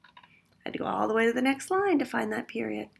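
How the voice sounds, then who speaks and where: A woman reading a picture book aloud for children, pausing to ask listeners questions about the story. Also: on the high side, lively intonation, vocal fry. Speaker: storytime reader. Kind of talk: read-aloud storytime narration.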